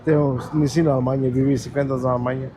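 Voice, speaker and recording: A man in his fifties, born in Germany, chatting in a halting, friendly way as a tuk-tuk tour guide outdoors, speaking with an accent. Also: medium pitch, little pitch movement, background noise. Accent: Portuguese with German influence. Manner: halting, repeats words, friendly. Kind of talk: casual chat. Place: outdoors on a Lisbon street.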